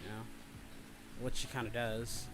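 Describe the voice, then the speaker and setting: A man's voice: mid-range voice, little pitch movement, unhurried, background noise. A man hosting a livestream, chatting casually in a rambling, sometimes profane way. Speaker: livestream host. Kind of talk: casual chat with listeners. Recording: music playing behind the voice. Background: background music.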